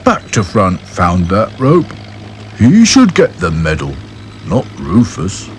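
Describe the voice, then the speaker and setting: Man voicing a gloomy doll, very slow, drawn out, mournful. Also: low voice, huge pitch swings, a little hiss or hum, exaggerated voice. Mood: glum. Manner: glum, drawn-out.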